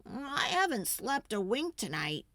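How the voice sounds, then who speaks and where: A woman's voice: on the high side, very animated, a little slow, pushed and bright, no echo, pitched above the performer's own voice, exaggerated voice. A woman voicing an eager older storybook husband, pleading and coaxing for a treat. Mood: craving. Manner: coaxing, promising.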